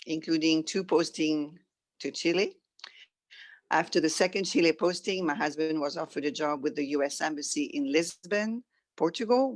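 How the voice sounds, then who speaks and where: A middle-aged woman from France with a French accent, reading a written personal story aloud as a guest. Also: sits low.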